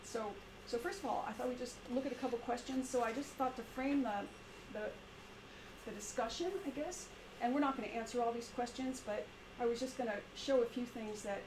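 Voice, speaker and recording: A woman with a quiet voice leading a group discussion at a meeting, talking in a halting way with many fillers and restarts. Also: fairly high pitch, fairly even intonation. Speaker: meeting presenter. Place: meeting room with a small group. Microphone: shared room mic for a video stream. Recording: echoey room, background noise.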